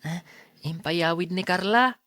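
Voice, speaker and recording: A woman's voice: fairly low pitch, measured pace, a bit bright, no room sound. A woman playing a timid, polite schoolboy in an audio drama, speaking hesitantly and bashfully. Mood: sheepish.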